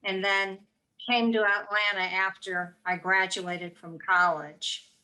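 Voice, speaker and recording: Slow, bright-voiced woman author. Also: mid-range voice, level tone, low-quality recording. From Baltimore and Ohio, US. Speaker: author guest. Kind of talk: interview answers.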